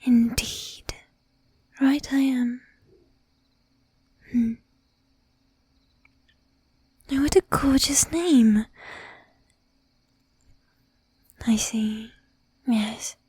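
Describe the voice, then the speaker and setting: Woman acting a predatory lady vampire, whispery and breathy, high-pitched, bossy, sweetly menacing, teasing formal manner, close-miked ASMR roleplay. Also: mellow, dry recording, heavily acted. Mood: flirtatious. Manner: coaxing, affected old-fashioned formality, drawn-out teasing. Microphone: close ASMR microphone.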